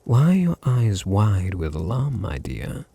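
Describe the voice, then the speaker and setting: A man performs a seductive British vampire master in an intimate ASMR roleplay. His voice is dark and husky with a creaky edge, often sinking toward a whisper. He purrs condescending endearments, domineering and teasing. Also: lowish voice, big pitch swings. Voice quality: breathy, often dropping to a whisper. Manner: slow, purring, condescending endearments. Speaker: audio roleplay voice actor.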